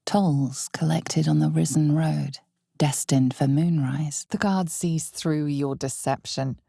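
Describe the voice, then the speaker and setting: A woman voicing a solemn, ominous narrator of a dark fantasy video game, with measured, dramatic storytelling. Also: low voice, steady tone, creaky, breathy edge, dry recording, clean studio sound, full character voice. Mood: measured, foreboding. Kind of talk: scripted second-person fantasy game narration.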